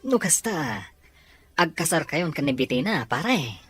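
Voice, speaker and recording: A man's voice: a little high, big pitch swings, measured pace, a bit bright, breathy edge, no echo, heavily acted. A man playing an easygoing young friend of a groom in an audio drama. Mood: friendly. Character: easygoing male buddy of the groom. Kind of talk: Ilocano audio drama acting.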